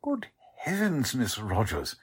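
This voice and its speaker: A man acting a fussy, smug company man with a crooked side in a 1940s-style radio drama, his voice husky. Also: mid-range voice, wildly swooping pitch, takes it slowly, full character voice. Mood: fussy, flustered. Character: fussy company man who is secretly a smug crime boss.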